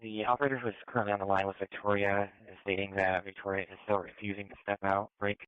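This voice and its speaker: Fast-talking male police dispatcher on radio. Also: fairly low pitch, level tone, smooth, dry recording. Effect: two-way radio. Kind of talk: procedural radio dispatch talk. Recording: tinny narrow police scanner radio.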